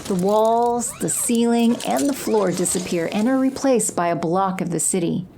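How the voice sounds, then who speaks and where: A woman's voice: medium pitch, moderate pace, vocal fry, mellow, a little hiss or hum. A woman reading scripted scene narration for an audio drama.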